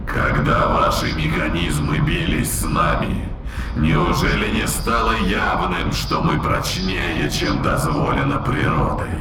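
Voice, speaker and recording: Ancient, deep, echoing spirit beast. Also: male voice, very animated, slow, heavily acted. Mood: contemptuous, proud. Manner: archaic, solemn, contemptuous phrasing. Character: ancient spirit inhabiting a dead ape, haughty and menacing. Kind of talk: audio drama acting. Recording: echoing, distant voice under loud music.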